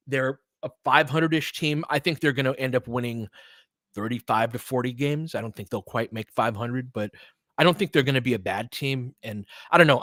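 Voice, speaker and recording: A man's voice: mid-range voice, a bit bright, no echo. Casual male sports host, close dry sound. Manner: conversational, thinks aloud, qualifies his opinions. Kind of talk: casual sports chat, answering listener comments.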